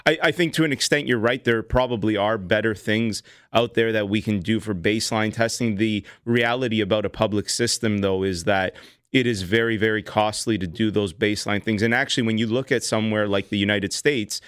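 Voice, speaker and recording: A man in his thirties with a fairly low, crisp, clear voice explains health matters to callers in a measured way. He sounds close and dry, as in a radio studio. He often restarts his sentences. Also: fairly even intonation, fairly bright tone, no echo. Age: 30s. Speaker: doctor and radio show host. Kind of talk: call-in health advice.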